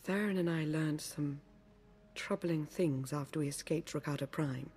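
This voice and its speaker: An actress playing an earnest, composed agent in a video game, speaking in a formal, measured way. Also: female voice, low voice, darkish tone, natural voice. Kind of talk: cinematic video game acting.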